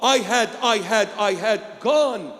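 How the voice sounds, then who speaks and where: A bishop from Iraq, preaching a sermon dramatically, swinging from loud exclamations to hushed lines. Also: male voice, high-pitched, slow pace, long reverb tail.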